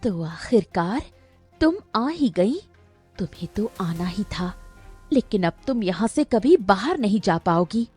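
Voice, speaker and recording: A woman voicing a mysterious, menacing mirror spirit in an animated story, assured and ominous. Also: medium pitch, unhurried, husky, faint music behind.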